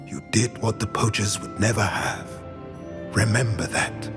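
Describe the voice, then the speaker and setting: A grave, wise centaur, given voice by a man, speaking low and unhurried, some words sinking into a breathy whisper. His voice rings with long reverb over swelling game music. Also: natural voice. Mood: solemn. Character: solemn, wise male centaur. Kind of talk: video game voice acting.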